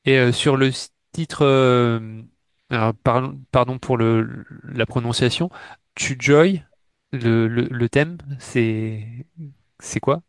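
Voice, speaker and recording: A man interviewing a band, asking polite, halting questions with many fillers. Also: mid-range voice, steady tone, clear, resonant tone, dry recording.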